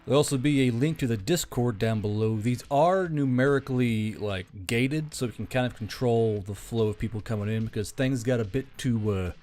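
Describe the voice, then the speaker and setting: Guy hosting a channel, casual rambly earnest talk, medium voice, music low behind. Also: normal speed, few pauses, a little mellow. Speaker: channel host. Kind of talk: casual unscripted sign-off to listeners.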